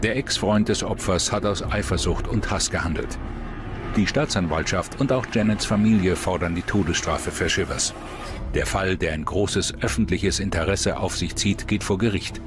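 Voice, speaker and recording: A man's voice: low-pitched, moderate pace. A man reading scripted true-crime documentary narration as the narrator. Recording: music under the voice. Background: prominent music.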